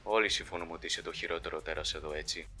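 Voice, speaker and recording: A man's voice: sits low, fairly even intonation, over loud music, natural voice. A man voicing a smug, dismissive killer monster in an amateur cartoon fandub. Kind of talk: amateur animated fandub acting.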